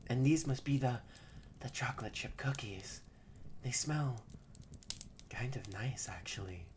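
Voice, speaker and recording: A woman voicing a haughty young male catboy who is secretly soft-hearted, bratty and bossy but easily flustered, in a scripted roleplay audio drama spoken to the listener. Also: extremely low pitch, measured pace, slight background noise. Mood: surprised, grudgingly pleased.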